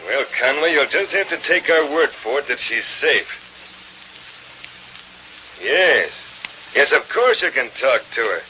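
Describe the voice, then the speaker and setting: An actor playing a gruff, cocky American gang boss giving slangy, clipped orders in an old radio crime drama. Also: male voice, mid-range voice, lively intonation, unhurried, pauses often, pushed and bright, soft background music. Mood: commanding, menacing. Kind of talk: 1930s-40s radio crime drama acting. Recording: dull, noisy old radio recording.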